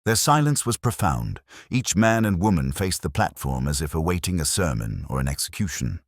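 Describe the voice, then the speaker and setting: A man narrating a scripted mystery story in a measured, formal way. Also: sits low, sing-song, no room sound, studio quality. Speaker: audiobook narrator.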